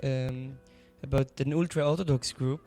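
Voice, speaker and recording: A young man from Israel doing national service, answering interview questions in a soft, thoughtful, hesitant way. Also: mid-range voice, fairly even intonation, a little slow, soft background music. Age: young adult. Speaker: guest, aid worker.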